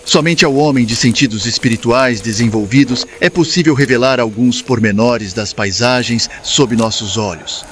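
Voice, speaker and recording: A man playing a wise, calm spirit mentor, lecturing in a formal, measured, didactic way as in an audiobook. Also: medium pitch, a little hiss or hum, natural voice. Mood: solemn, instructive. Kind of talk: scripted audiobook dialogue, lecturing.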